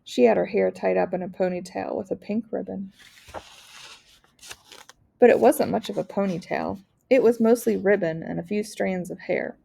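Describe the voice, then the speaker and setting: A woman's voice: medium pitch, moderate pace, vocal fry, mellow, breathy edge. A woman narrating a children's novel aloud, as a teacher reads to a class.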